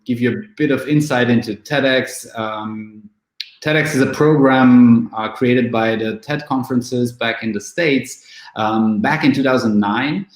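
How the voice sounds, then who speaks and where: A man in his thirties from Romania, an event organizer, talking in a casual online interview with many fillers and restarts. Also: mid-range voice. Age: 30s. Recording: echoey room.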